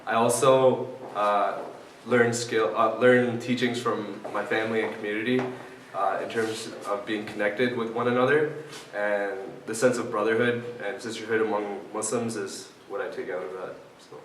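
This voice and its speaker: A young man in his early twenties answers interview questions haltingly. His voice is a little low, picked up from a distance in an echoey room. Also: steady tone, fairly bright tone. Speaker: student interviewee. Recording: echoey room, distant mic, faint noise.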